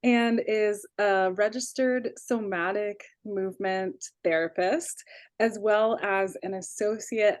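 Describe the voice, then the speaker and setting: A woman's voice: mid-range voice, fairly even intonation, takes it slowly, no echo, studio quality. A woman interviewing a guest in a casual, encouraging way, phrasing her questions tentatively. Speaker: interviewer, movement studies faculty.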